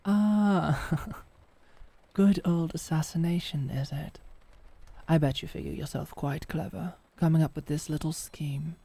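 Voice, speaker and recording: A woman's voice: low voice, unhurried, vocal fry, warm tone, slight background noise. A woman playing a sardonic, defiant lady knight in a medieval fantasy audio drama, with theatrical, formal phrasing. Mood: taunting, defiant. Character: defiant, sardonic lady knight protecting her princess lover.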